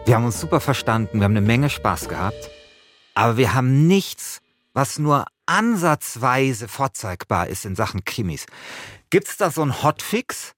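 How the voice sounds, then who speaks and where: A man's voice: medium pitch, very animated, normal speed, fairly bright tone, no room sound, faint music behind. A man hosting a podcast, moving between casual chat and scripted narration. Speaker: podcast host.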